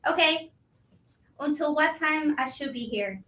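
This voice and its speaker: A woman's voice: high voice, lively intonation, unhurried, bright, forceful tone, exaggerated voice. A woman playing a put-upon, deferential young kitchen helper in a staged reading, guarded and compliant. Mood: resigned, guarded. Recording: echoey, distant from mic.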